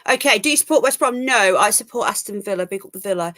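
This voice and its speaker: A woman's voice: medium pitch, lively intonation, fast talker, bright, forceful tone. A woman hosting a live call-in stream from home, chatting frankly and rambling, a bit full of cold, on a laptop mic. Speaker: livestream call-in show host. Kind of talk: casual live stream chat.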